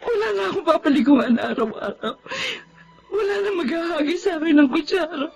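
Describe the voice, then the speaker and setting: An actress playing a lonely, grieving daughter in a radio drama, tearful, halting and pleading. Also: female voice, sits high, lively intonation, gravelly, soft background music. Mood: sobbing, despairing. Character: grieving lonely daughter who cared for her sick mother. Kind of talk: Tagalog radio drama acting. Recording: dull lo-fi radio drama sound.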